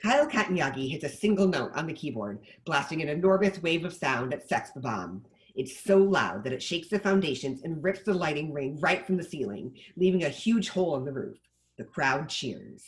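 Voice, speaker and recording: A man's voice: on the high side, very animated, normal speed. A man reading stage directions as scripted narration for a table read.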